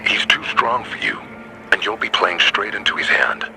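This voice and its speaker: An actor playing a wary, fatherly older lookout in a video game, talking in a measured, gruff voice. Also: fairly low pitch, sing-song, pushed and bright, soft background music, exaggerated voice. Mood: worried, fatherly. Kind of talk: video game character dialogue. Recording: noisy, with engine-like ambience behind.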